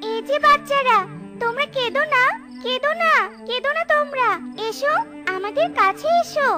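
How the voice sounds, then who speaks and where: A woman's voice: extremely high pitch, moderate pace. A woman voicing a kindly, reassuring talking plant in a children's cartoon. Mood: soothing. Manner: gentle, reassuring. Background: children's music.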